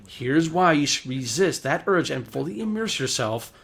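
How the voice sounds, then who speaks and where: A man's voice: on the high side, expressive, moderate pace, gravelly, a bit bright, breathy edge. A man reading scripted video essay narration in a polished, formal, explanatory way.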